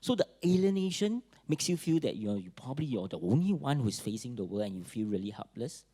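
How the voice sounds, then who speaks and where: A man with a Singaporean accent giving a public talk at a forum, pausing often with fillers and restarts. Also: mid-range voice, mellow. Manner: halting, many fillers and restarts. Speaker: forum speaker.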